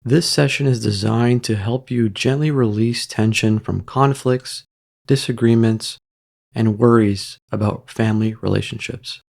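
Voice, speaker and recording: Calm guy doing a guided meditation, really slow, bit of gravel in the voice. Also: mid-range voice. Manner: calm, gentle, measured with long pauses. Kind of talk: guided meditation narration, soft instruction.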